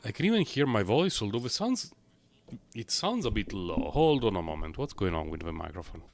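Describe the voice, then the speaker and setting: A man livestreaming a game, talking in a rambling, chatty way full of fillers, his voice a little low and rough from a recent cold. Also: big pitch swings, brisk, dry recording. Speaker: game streamer. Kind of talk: casual live-stream gameplay commentary.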